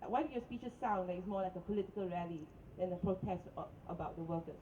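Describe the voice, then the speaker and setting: A woman blogger asking a question at a press conference, hesitant and halting. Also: fairly low pitch, mellow, highs cut off, a little hiss or hum.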